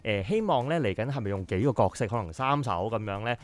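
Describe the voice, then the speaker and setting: A man's voice: medium pitch, expressive, fast. A man in his thirties with a Hong Kong Cantonese accent, hosting a casual radio interview in a studio and talking in a chatty, teasing way. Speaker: radio host. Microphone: studio boom mic.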